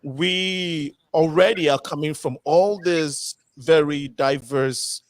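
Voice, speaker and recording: A man's voice: a little high, slow, no room sound, studio quality. A man hosting a panel talk show, asking long, rambling questions full of fillers. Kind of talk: panel discussion moderating.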